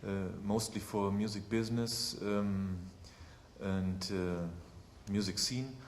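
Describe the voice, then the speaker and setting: Halting man, flat voice. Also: fairly low pitch, a little hiss or hum. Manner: hesitant, many uhs. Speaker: city culture department worker. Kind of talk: round-table self-introduction. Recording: echoey hall with faint ambience.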